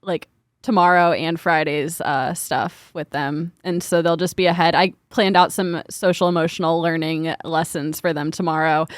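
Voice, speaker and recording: A woman's voice: sits low, fairly even intonation, normal speed. A young woman co-hosting a casual podcast, sounding tired with a slightly worn voice, chatty and laughing often, trailing off with fillers. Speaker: podcast co-host. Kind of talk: casual chat.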